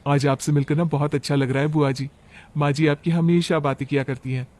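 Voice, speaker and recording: A man's voice: on the high side, little pitch movement, a little fast, a little mellow, natural voice. A man voicing a sweet, dutiful young daughter-in-law in a cartoon family story, polite and earnest. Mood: friendly.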